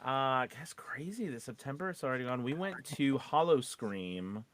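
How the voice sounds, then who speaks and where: A man's voice: medium pitch, animated, moderate pace, a bit bright, a little hiss or hum. A man who is a voice actor co-hosting a podcast, chatting casually and rambling, with playful repetition of words. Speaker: podcast co-host. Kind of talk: casual co-hosted chat.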